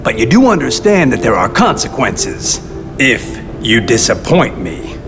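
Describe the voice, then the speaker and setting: An actor playing a glib, self-important crime boss in a video game cutscene, talking with pompous mock courtesy. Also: male voice, mid-range voice, sing-song, unhurried. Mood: smoothly bargaining. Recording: long reverb with loud music behind. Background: music.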